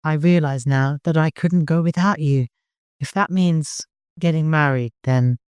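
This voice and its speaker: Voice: mid-range voice, unhurried, darkish tone, smooth, no room sound, natural voice. A narrator voicing a fierce, proud, defiant young woman in an audiobook, bold and insistent. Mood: resolute.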